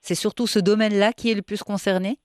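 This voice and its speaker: A woman's voice: medium pitch, fairly even intonation, quick pace, a little mellow, no echo, clean studio sound. A woman interviewer asking probing, reflective questions in a conversational way, searching for her words.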